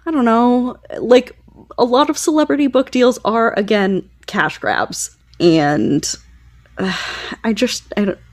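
A woman's voice: fairly high pitch, slow pace. A woman co-hosting a podcast, speaking frankly and informally in a casual panel chat. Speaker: library podcast co-host.

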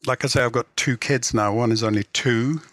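A man's voice: medium pitch, steady tone, fairly quick, a bit bright. A middle-aged man with an English accent speaks reflectively and conversationally as an interview guest, hedging often with "you know". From England. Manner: reflective, hedging, frequent 'you know'. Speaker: guest, writer and literary translator.

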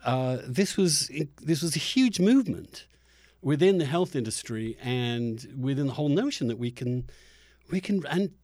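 A man's voice: medium pitch, expressive, moderate pace, darkish tone, no echo, studio quality. A middle-aged man hosting a conversational podcast interview, giving opinions emphatically and often restarting his phrases. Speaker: podcast host.

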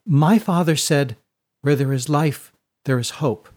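A man voicing an earnest, sheltered upper-class young woman in a storybook, speaking in a formal, careful, gentle way. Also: fairly high pitch, unhurried, voice pushed up, natural voice. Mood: polite, earnest.

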